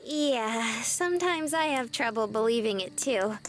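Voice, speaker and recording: A woman's voice: fairly high pitch, expressive, unhurried. A woman playing a cheerful, open young woman from a big family in visual novel game dialogue. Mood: candid, chatty.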